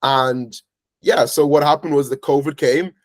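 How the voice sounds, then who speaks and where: A man's voice: medium pitch, steady tone, moderate pace, dry recording. A young man in his early twenties from Ireland, with an Irish accent, talking casually as an interview guest in a rambling way, with lots of fillers. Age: early 20s. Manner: rambling, filler-heavy storytelling.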